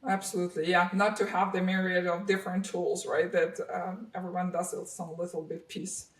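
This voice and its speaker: A woman hosts an interview, affirming her guest often and pausing on fillers. Her voice sounds roomy and sits back from the microphone. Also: fairly low pitch, little pitch movement, distant mic. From Ukraine. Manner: warm affirmations, frequent hesitations and fillers. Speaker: podcast host. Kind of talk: interview hosting.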